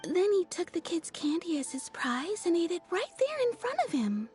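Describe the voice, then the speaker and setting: A woman's voice: very high voice, sing-song, normal speed. A woman playing a cheerful, frank young townswoman who tells stories playfully in a video game. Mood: frank, animated. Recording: background music.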